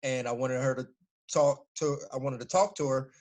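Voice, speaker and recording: A man in his forties, a former fighter, telling stories in a relaxed, casual interview and laughing often. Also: medium pitch, normal speed. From United States.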